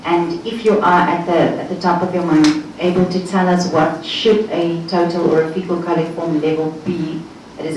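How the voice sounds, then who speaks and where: A South African woman with a very low voice asks polite, precise technical questions at a formal hearing. She sounds far from the mic in a very echoey hall, with a faint hiss underneath. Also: a little mellow. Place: large hearing room.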